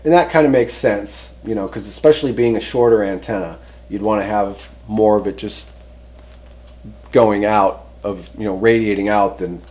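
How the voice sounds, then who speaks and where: A man presenting a product review in casual, unscripted talk, rambling conversationally and often pausing to rephrase himself. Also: on the low side, darkish tone. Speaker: host reviewing CB radio antennas.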